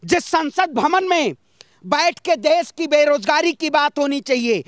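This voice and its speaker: A man's voice: extremely high pitch, lively intonation, a little slow, no room sound, studio quality. A man from northern India giving political opinions in an impassioned, rhetorical street-speech style, emphatic and fond of repetition.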